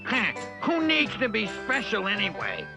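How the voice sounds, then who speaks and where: A woman voicing a lazy, lovestruck little male turtle, naive and pleading, in an animated children's story. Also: mid-range voice, moderate pace, creaky, music in the background. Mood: lazy, dismissive.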